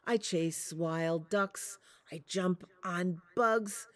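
A woman voicing a playful big gray daddy wolf in a storybook, chanting slowly in rhythm. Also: on the low side. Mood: sing-song.

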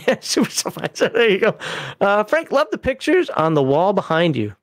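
A man's voice: sits high, sing-song, normal speed, dry recording, clean studio sound. A middle-aged man hosting a casual livestream from home over a video call, reading chat messages and laughing often. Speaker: livestream host.

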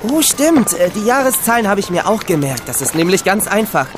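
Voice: mid-range voice, big pitch swings, brisk, over music, close to an everyday voice. A performer playing an eager boy who loves knights and proudly shows off what he knows, in a children's audio drama. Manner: enthusiastic, showing off what he knows.

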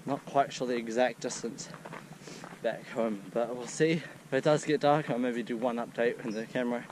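A man's voice: medium pitch, steady tone, normal speed, a little mellow, slight background noise. A young man talking to a handheld action camera while running on a mountain trail, a bit out of breath. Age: young adult. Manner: upbeat, outdoorsy narration while running. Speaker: vlogger. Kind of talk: casual vlog to camera. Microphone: action camera held at arm's length.

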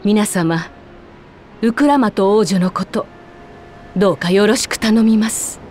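A woman's voice: medium pitch, measured pace, a little mellow, a little hiss or hum, natural voice. An actress playing an older, motherly nursemaid in a fantasy game, polite, devoted and dignified. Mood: gentle, earnest.

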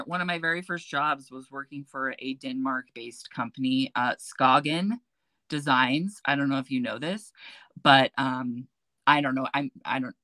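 A woman with a notably low, deep voice, talking in a chatty, animated way as she tells stories. The sound is dry and close, with no room echo. It comes through a webcam on a video call from an indoor closet. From United States. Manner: chatty storyteller, animated, gestures while talking. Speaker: beauty influencer, guest. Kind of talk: casual chat on a livestream. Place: walk-in closet with shelves, indoors.

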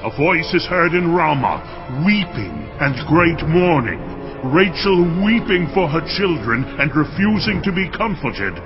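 An actor playing a grave prophet declaiming a mournful lament in a dramatized Bible. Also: male voice, fairly high pitch, very animated, unhurried. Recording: dull audio with music.

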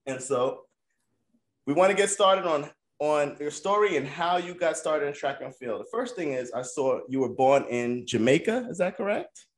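A man's voice: a little high, lively intonation, normal speed. A man hosting a sports interview podcast, upbeat and joking as he reads out his guest's achievements. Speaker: podcast host. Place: indoor room with brick wall.

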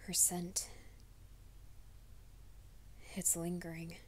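A young man hiding a werewolf nature, voiced by a woman, his voice low and his speech slow. He mutters to himself in urgent, breathy whispers, tense and secretive. A faint hiss of noise sits under this ASMR roleplay audio. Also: completely flat delivery, darkish tone. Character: young male werewolf soldier, anxious and secretive.

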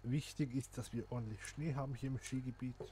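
A man's voice: mid-range voice, fairly even intonation, brisk, mellow, a little hiss or hum. A man, chatting casually to viewers and thinking aloud as he plays a simulation game. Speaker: gaming channel host. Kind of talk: casual gameplay commentary.

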